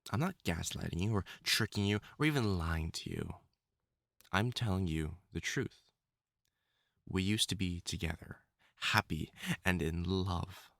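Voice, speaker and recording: A man's voice: on the low side, creaky, a bit bright, dry recording, clean studio sound, full character voice. A man playing a theatrical male wolf-mutant supervillain in love, teasing and wounded, in a scripted audio roleplay spoken to the listener. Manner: theatrical, taunting, dramatic swoops and pauses.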